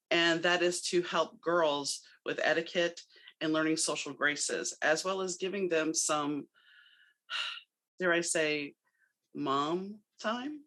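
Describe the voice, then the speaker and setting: Bright-voiced podcast host. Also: mid-range voice. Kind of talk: casual interview.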